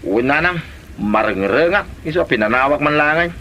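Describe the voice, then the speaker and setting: Casting: male actor for cheeky womanizing husband, Filipino accent, very lively pitch swings, noisy comedy-drama recording. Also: normal speed. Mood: defensive. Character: philandering husband, boastful about his online chatmate. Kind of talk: Ilocano comedy radio drama acting.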